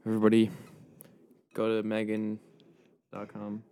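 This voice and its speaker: A man co-hosting a casual podcast, soft-spoken and a bit mumbly, with a laid-back delivery. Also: on the low side, completely flat delivery, measured pace, vocal fry, clear, resonant tone. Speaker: podcast co-host. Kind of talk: casual chat.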